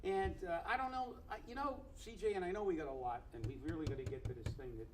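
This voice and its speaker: A man's voice: a little high, fast talker, slight background noise. A man co-hosting a local political commentary show, talking in a hurry with indignant sarcasm. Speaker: co-host.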